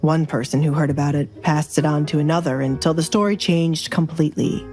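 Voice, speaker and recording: A woman's voice: deep voice, steady tone, fairly quick, mellow, soft background music, natural voice. A woman voicing a dangerous nobleman duke in an audio romance, formal, commanding and dryly teasing. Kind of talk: dramatized audio romance dialogue.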